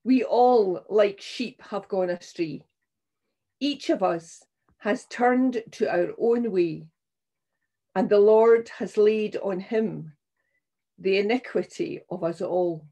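An older woman minister reading scripture and preaching in a solemn, measured, deliberate way. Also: medium pitch, dark tone. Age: 60s or older. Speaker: minister leading a church service. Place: small room at home, indoors.

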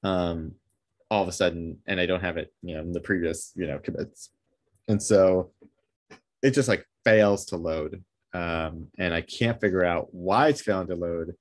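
A man's voice: low voice, normal speed. A man on a video call describing a technical problem, hesitant and full of fillers as he thinks aloud. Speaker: meetup attendee asking for help. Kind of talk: casual video call chat.

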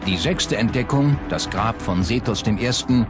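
A man's voice: medium pitch, lively intonation, moderate pace. A man reading scripted narration as a documentary narrator. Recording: loud music behind the voice. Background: music bed.

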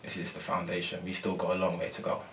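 A young male footballer talks in a low, even monotone, hesitating with ums and repeating himself. He sounds a little set back from the microphone in a press room. The delivery is soft and unhurried. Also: distant mic, slight background noise. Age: young adult. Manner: soft-spoken, many ums and repeated phrases. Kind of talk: post-match interview. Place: press room before a sponsor board.

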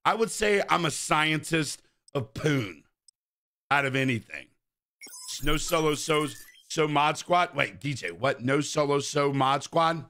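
Man, 30s, game streamer, crude joking banter, swearing, bright voice, slightly high. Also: lively intonation, measured pace. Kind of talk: casual live-stream chat while gaming. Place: indoor room at a gaming chair.

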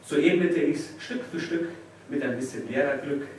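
Young male slam poet, mid-pitched, heard from far off in a very reverberant room. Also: moderate pace. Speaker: poetry slam performer. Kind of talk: live spoken-word poetry slam performance. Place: indoor small venue stage before an audience. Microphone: distant camera microphone. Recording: distant, very echoey, noisy room recording.

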